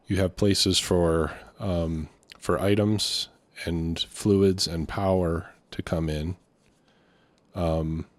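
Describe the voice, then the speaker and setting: Deep-voiced, slightly stuffy male gaming host. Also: no room sound. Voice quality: slightly stuffy, congested nose. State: getting over a cold. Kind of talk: casual let's play commentary.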